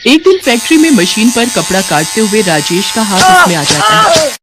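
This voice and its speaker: A woman's voice: fairly high pitch, big pitch swings, normal speed, very noisy. A woman reading scripted story narration as the narrator.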